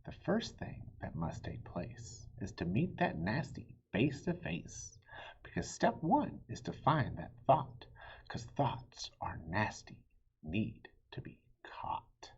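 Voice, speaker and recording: Male children's book reader: very raspy-breathy, slow and deliberate, theatrical with hushed dips. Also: on the low side, sing-song, highs cut off. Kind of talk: animated read-aloud storytelling for children.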